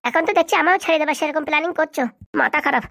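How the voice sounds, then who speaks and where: Woman acting a high-voiced, lovestruck cartoon husband. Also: fairly even intonation, racing, vocal fry, a bit bright, no echo, studio quality. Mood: coaxing. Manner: coaxing, melodramatic. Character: lovestruck, henpecked, sweet-talking husband. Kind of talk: amateur comedy cartoon dialogue.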